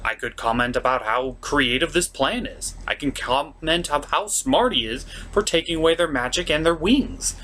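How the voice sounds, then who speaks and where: A man's voice: fairly high pitch, normal speed, few pauses, sharp tone. A man giving a casual scripted review commentary with a theatrical, emphatic delivery. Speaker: cartoon reviewer and show host. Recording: noticeable background noise.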